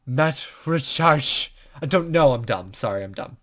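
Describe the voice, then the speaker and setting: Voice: mid-range voice, lively intonation, a little slow, a bit bright. A let's play host with a chatty, self-deprecating manner, commenting casually on a game and reading its text aloud.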